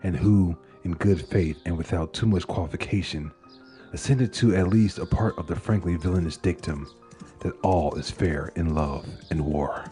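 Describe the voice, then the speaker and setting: A man giving a measured, solemn narration of a literary dark tale. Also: low-pitched. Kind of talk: dramatic horror-tale narration of a literary short story. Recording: music under the voice. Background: music.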